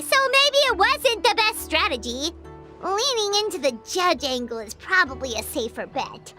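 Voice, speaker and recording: Squeaky, childlike fairy sidekick. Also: female voice, exaggerated voice. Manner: refers to self in third person, excitable. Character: small childlike chatty fairy companion, eager and cheeky. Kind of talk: animated video game voice acting.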